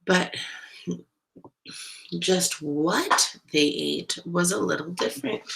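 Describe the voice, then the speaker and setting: A woman reading a picture book aloud to young children in an expressive, deliberate way, as a storyteller. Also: fairly low pitch, creaky, a little hiss or hum, rough recording. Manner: animated, deliberate, pauses to ask listeners questions.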